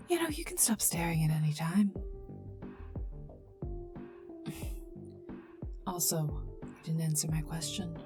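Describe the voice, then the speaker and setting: A woman playing a haughty, prickly poisoner agent in an ASMR roleplay, dry and sarcastic, often dropping near a whisper. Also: on the low side. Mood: irritated, scornful. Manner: dry, sarcastic, scornful, unhurried. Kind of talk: ASMR roleplay addressed to listener. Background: calm ambient game music.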